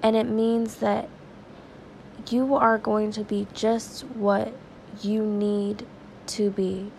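Slow, gravelly-voiced woman preaching. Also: medium pitch, flat delivery, a little mellow, slight background noise, poor audio. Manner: earnest, conversational, slow and prayerful. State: stuffy and raspy from crying. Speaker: devotional podcast host. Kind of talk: informal devotional talk and prayer.

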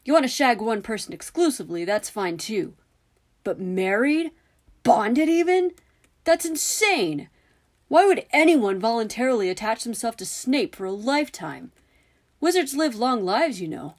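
A woman's voice: on the high side, sing-song, measured pace, pitched above the performer's own voice. A woman voicing an indignant, scornful teenage boy wizard with emphatic, sarcastic outbursts. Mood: incredulous.

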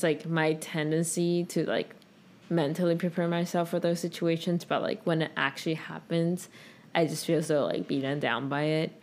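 A young woman co-hosting a podcast, chatting casually and rambling with lots of "like". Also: deep voice. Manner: rambling, heavy use of "like". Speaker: podcast co-host. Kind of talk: casual chat.

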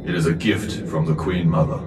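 Solemn alien elder, man acting, low even voice, measured, echoing, loud music behind, sci-fi audio drama. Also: recorded from a distance, close to an everyday voice.

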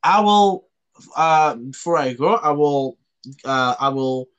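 A man from Canada hosting a casual podcast, talking in a halting way and often rephrasing himself. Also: a little high, animated, a bit bright, smooth. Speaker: podcast host.

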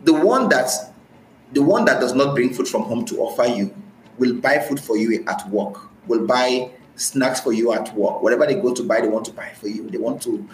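A man's voice: medium pitch, moderate pace, a little hiss or hum. Nigerian man in a roomy, echoey space. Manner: repeats and rephrases phrases for emphasis. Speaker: podcast guest. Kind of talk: conversational interview, recounting personal experience. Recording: roomy echo, faint music.

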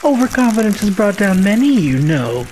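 A woman's voice: medium pitch, big pitch swings, moderate pace, mellow, lightly acted. A woman playing a smug, mocking villain rival in an anime fan dub, with a taunting, sardonic delivery. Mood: smug mockery. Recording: faint background noise.

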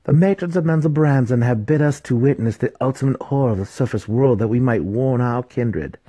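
A man voicing a grim, fanatical female dark elf cleric in an imperious, declamatory way for a fantasy audiobook. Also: mid-range voice, brisk, slightly rough, pitched above the performer's own voice, close to an everyday voice. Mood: grave. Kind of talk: dramatic fantasy audiobook character.

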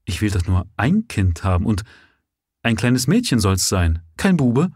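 A man voicing a kindly, wealthy lady who speaks hesitantly and gently. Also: deep voice, lively intonation, measured pace, breathy edge, dry recording, clean studio sound, exaggerated voice.

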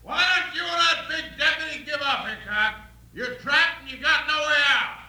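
An actor playing a mean outlaw gang leader in a radio western, calling out threats. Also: male voice, very high-pitched, normal speed, full character voice. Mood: menacing. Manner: shouted threats. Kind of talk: 1950s western radio acting. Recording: roomy, echoing old radio recording.

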